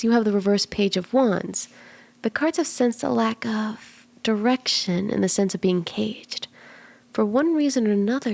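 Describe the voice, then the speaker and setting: A woman voicing a flirty, soothing kitsune fortune teller in an ASMR roleplay, speaking gently and coaxingly, at times in breathy whispers. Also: mid-range voice, no echo. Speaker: tarot reader.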